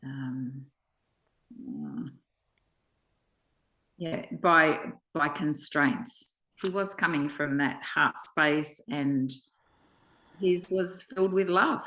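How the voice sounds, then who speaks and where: Woman, low-pitched and unhurried, halting reflective delivery for a spiritual discussion. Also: sing-song, sharp tone, poor audio. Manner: halting, reflective, pausing mid-sentence. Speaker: study group participant.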